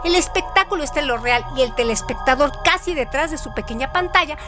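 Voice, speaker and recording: Female radio co-host, high-pitched voice, scripted literary narration, articulate and explanatory, clear music underneath. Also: quick pace.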